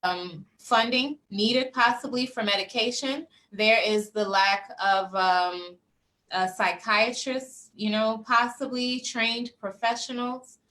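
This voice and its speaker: A woman hosting a discussion panel, speaking in a measured way with hesitant fillers. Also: fairly high pitch, fairly even intonation, pushed and bright. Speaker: host.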